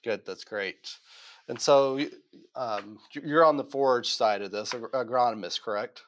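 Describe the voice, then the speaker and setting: A man's voice: mid-range voice, a bit bright. A man hosting a podcast interview, casually affirming his guest and adding his own longer explanations. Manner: affirming, drawn-out explanatory asides. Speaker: podcast host.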